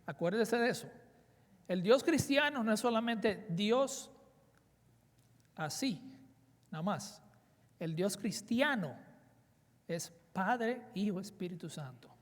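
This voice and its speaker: A man's voice: high-pitched, lively intonation, slow pace, long pauses. A man in his forties from the Dominican Republic with a Dominican Spanish accent, preaching earnestly at a conference and weaving in personal stories. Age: 40s. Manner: earnest, emphatic, with personal anecdotes. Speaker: conference speaker. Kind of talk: sermon. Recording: reverberant hall.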